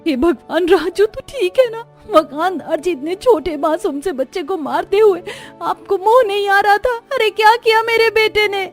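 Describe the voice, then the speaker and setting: A woman's voice: extremely high pitch, moderate pace, soft background music, voice pushed up. A woman voicing a spirited young village daughter-in-law in a cartoon story, chatty and outspoken. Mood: alarmed, indignant. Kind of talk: Hindi cartoon story acting.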